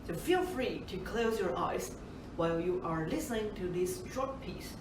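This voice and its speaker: Low-voiced woman, roomy and distant. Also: lively intonation, moderate pace, background noise. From Taiwan. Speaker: bassoonist. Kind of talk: introducing an instrument to children.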